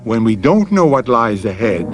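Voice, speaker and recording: Animated American man hosting radio mystery. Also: medium pitch, music in the background. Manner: dramatic, measured, ominous rhetorical phrasing. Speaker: host of a radio mystery series. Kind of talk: theatrical scripted narration, vintage radio.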